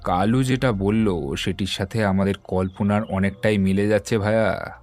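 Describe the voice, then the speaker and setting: A methodical detective acted by a man. He explains in measured, assured phrases, as in an audio mystery play. Music runs underneath. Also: fairly low pitch, fairly even intonation, a little mellow, lightly acted. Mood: measured, serious. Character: composed, methodical male Bengali detective explaining a case. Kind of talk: dramatised audio detective story.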